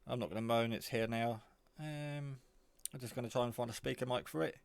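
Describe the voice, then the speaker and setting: A man giving a casual, off-the-cuff product demo, rambling in a low-key way as he thinks aloud. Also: steady tone, brisk, creaky, clear, resonant tone, dry recording. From England. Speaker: hobbyist reviewer.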